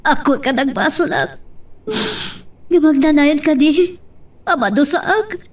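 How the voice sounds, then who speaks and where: A woman's voice: high-pitched, expressive, slow pace, recorded from a distance. A woman playing an anguished, defiant mother who pleads with resolve in a radio drama. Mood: pleading, defiant. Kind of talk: melodramatic radio drama acting.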